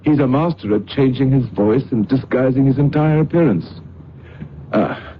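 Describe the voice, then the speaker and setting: An actor playing a courteous gentleman, formal and matter-of-fact in an old radio drama. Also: mid-range voice, normal speed, close to an everyday voice. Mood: courteous, informative. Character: proper, courteous English gentleman. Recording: muffled, hissy old radio recording.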